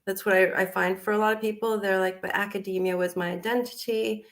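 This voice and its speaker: An American woman in her forties joins an interview over a video call. She talks in an animated, chatty way. She laughs often and fills her sentences with likes and ums. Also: medium pitch, brisk. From United States. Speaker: guest. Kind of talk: casual interview chat.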